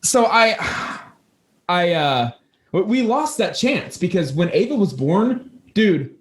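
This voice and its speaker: A man's voice: high-pitched, sing-song, slow pace. A young man hosting a podcast with casual, profane banter and rambling anecdotes, in a very echoey room. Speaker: podcast host.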